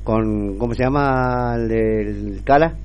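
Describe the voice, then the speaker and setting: A man's voice: medium pitch, takes it slowly, a little hiss or hum. A man hosting a radio show, chatting casually and heaping praise on his guests. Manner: chatty, warm praise, frequent laughs. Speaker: radio host. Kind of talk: casual chat.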